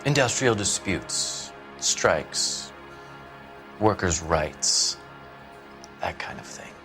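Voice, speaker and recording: An actor playing a haughty, evasive starving writer in a 1940s crime game, condescending and guarded. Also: male voice, low voice, lively intonation, extremely slow, over music, heavily acted.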